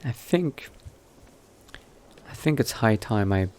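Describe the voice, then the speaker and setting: A man playing a newly turned vampire mage in a game roleplay, musing to himself in a halting, politely understated way. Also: fairly low pitch, lively intonation, a little mellow. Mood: thoughtful. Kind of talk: in-character video game roleplay narration.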